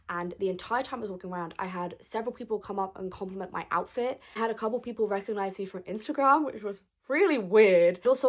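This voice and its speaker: Hoarse, excited young woman. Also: mid-range voice. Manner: excited, gushing, chatty. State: hoarse, gravelly from lost voice. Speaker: vlogger. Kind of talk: casual vlog chat.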